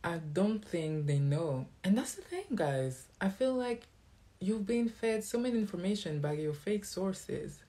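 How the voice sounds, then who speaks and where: A man's voice: sits high, animated, measured pace, warm tone. Sassy guy from belgium ranting at haters in english, french is his first language, casual vlog talk. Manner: sassy, defiant, throws shade. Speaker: vlogger. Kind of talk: casual monologue to viewers.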